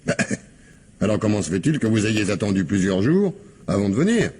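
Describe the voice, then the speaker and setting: Deep-voiced, sceptical police commissioner. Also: male voice, very animated, a little mellow, a little hiss or hum. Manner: questioning, dry, measured. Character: probing, sceptical, unhurried male police commissioner. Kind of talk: radio mystery drama acting.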